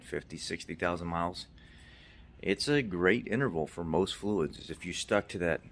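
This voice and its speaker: A middle-aged man giving a casual, unscripted recap as a mechanic hosting a video, stressing his points by repeating them. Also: sits low. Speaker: car maintenance video host, mechanic.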